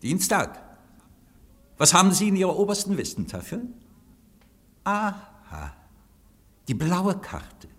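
A haughty anarchist chief barks orders and sneers at his council. His voice sits high and bright, swinging widely in pitch. It carries a long hall reverb, like a radio play recorded in a large room. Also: sing-song, slow, long pauses, heavily acted. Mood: commanding. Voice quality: imperious, scornful, booming. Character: commanding anarchist council president, imperious and mocking.

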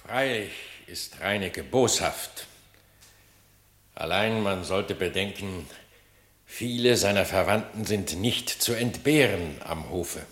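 An actor in a radio play voicing a stern animal king who speaks in solemn, measured proclamations. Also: sits low, a bit bright. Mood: grave deliberating. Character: stern male animal king pronouncing judgement, weighing politics. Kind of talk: classic German radio play acting in verse. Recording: echoing, roomy sound.

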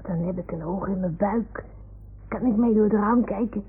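A woman's voice: mid-range voice, moderate pace, husky. A woman playing an imaginative little boy in a radio play, speaking with childlike earnestness. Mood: earnest, playful. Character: imaginative little boy talking with his toy animals. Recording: muffled phone-like old radio recording.

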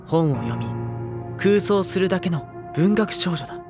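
A man playing a sensitive, introspective high-school boy who narrates his thoughts in an audio drama, measured and phrase by phrase. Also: close to an everyday voice. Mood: entranced, reflective. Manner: measured, literary, pausing phrase by phrase. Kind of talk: Japanese audio drama first-person narration. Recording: loud instrumental music behind the voice.

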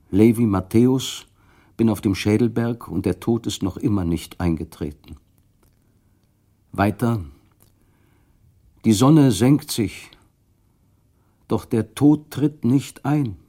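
A man narrating a literary radio play, measured and expressive, rising with the drama. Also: medium pitch.

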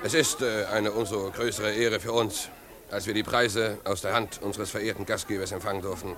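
An actor playing a nervous, reluctant man giving a stiff, halting, polite speech in a 1950s radio play. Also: lowish voice, little pitch movement, fairly bright tone, lightly acted. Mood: nervous, formal. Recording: 1950s radio recording with party crowd. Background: crowd of party guests.

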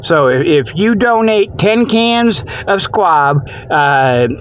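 Male radio host, high voice, folksy and rambling comic delivery, dry but dull lo-fi recording. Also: lively intonation, slow. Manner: folksy colloquial grammar; repeats "okay" and "you know" as tags. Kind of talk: rambling comedic radio monologue.

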